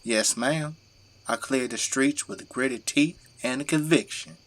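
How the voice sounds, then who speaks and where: Man acting a bright-voiced, commanding working father. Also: mid-range voice, a little slow. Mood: earnest, reminiscing. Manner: commanding, plain-spoken, folksy turns of phrase. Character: gruff, devoted father working as a zombie-burning government janitor. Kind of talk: audio drama dialogue.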